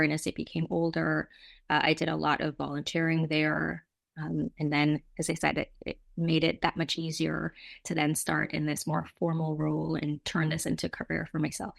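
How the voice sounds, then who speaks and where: A woman's voice: sits low, level tone, normal speed, gravelly. A middle-aged woman from Jamaica answering interview questions conversationally, in a reflective, explanatory way with frequent asides. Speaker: nonprofit representative, interview guest.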